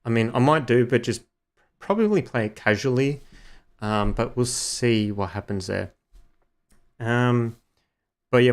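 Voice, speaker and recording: A young male gaming streamer talks to his live chat in a loose, rambling way, full of ums and uhs. His voice sits at a middling pitch with a smooth, resonant core, though it runs a little hoarse. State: slightly hoarse.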